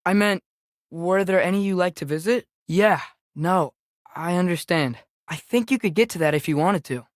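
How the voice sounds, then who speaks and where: A man's voice: sits high, normal speed, dry recording, close to an everyday voice. A young male actor voicing an earnest, curious teenage boy adventurer in a video game, tentative but eager in a naturalistic style, recorded dry and close. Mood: helpful, unsure.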